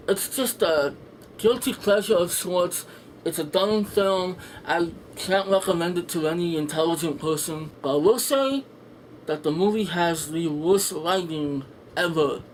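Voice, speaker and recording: A casual video reviewer with a mid-range voice rambles through strong opinions. The delivery is blunt, sarcastic and sweary. Faint room noise and ambience sit behind the voice. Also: medium pitch. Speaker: film reviewer, video host. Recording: noisy home room with background ambience.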